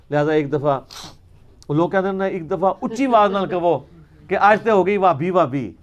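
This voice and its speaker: A man's voice: high voice, muffled recording. A man with a Pakistani Punjabi accent answering religious questions in an informal lecture, arguing emphatically with rhetorical questions and slipping into Punjabi.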